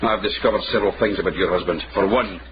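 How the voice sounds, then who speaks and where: Male actor as weary, shrewd period detective for radio, lowish voice under heavy noise. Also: quick pace, natural voice. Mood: cool, probing. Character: shrewd, weary man investigating intrigue in old Edinburgh. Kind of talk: period radio drama acting. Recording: dull, very noisy vintage radio recording.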